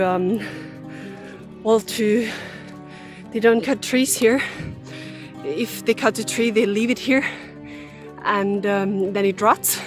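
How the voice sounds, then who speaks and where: A woman from Austria with an Austrian German accent, chatting outdoors as she runs trails and guides a tour. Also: on the high side. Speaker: runner guiding a trail tour. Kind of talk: casual walk-and-talk to camera. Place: outdoors, forest trails and park.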